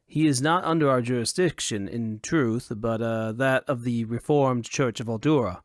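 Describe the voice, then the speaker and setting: A man's voice: mid-range voice, normal speed, no room sound, studio quality, close to an everyday voice. A wary town militiaman, voiced by a male narrator, with a mid-range voice. He is guarded and firm, a jailer who could be bought, in an audiobook performance. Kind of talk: audiobook character acting.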